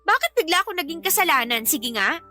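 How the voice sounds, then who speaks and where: A woman's voice: high-pitched, big pitch swings, fast talker, sharp tone, exaggerated voice. An actress playing an irritated, defiant young mother in a radio drama, sarcastic and scolding, with a Filipino accent. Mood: indignant, sarcastic.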